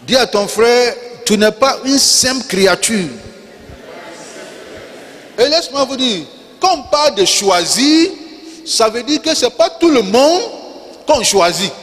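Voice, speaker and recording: A male pastor preaching a sermon in French, which is not his first language, with call-and-response lines, repeated key words and rising shouts. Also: extremely high pitch, expressive, measured pace, long pauses. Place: church. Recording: long reverb of a church hall.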